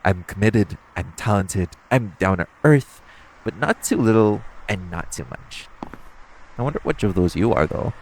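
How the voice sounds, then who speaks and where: A flirty supervillain thief, acted by a man with a low-pitched voice. He talks straight to the listener in a casual, teasing audio-roleplay style. His delivery is self-assured yet conversational, broken by halting pauses. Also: lively intonation, slight background noise, rough recording. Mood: amused, flirty. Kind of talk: intimate second-person audio roleplay, conversational acting.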